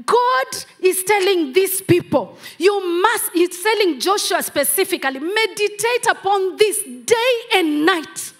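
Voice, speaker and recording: Kenyan lady pastor, high voice, talks real slow and stretches words, handheld mic in a big church. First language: Kamba. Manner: emphatic preaching with repeated phrases and drawn-out words. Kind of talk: sermon. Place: large church hall, on stage.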